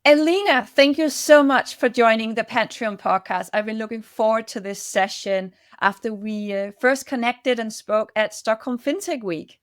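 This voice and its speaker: A woman hosting a podcast, asking questions in an enthusiastic way with quick laughs and restarts. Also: fairly high pitch, no room sound, clean studio sound. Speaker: podcast host. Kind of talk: casual interview chat.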